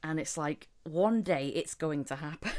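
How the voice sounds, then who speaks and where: A woman with a low-pitched voice hosts an informal podcast. She chats loosely and repeats her phrases to drive them home. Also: sing-song, takes it slowly, warm tone. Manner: chatty, repeats phrases for emphasis. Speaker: podcast host, voice actor. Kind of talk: casual podcast chat.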